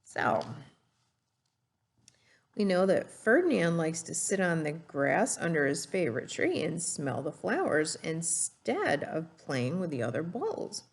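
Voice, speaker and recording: A woman's voice: deep voice, very animated, measured pace. A woman reading a storybook aloud and teaching children, explaining simply and putting questions to her young listeners.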